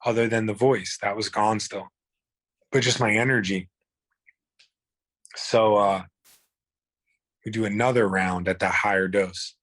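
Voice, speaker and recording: A man's voice: on the low side, steady tone, a little slow, pauses often, a bit bright, dry recording. A man, telling his own illness story in a blunt, profane, rambling way. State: hoarse. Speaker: guest. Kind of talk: candid personal storytelling.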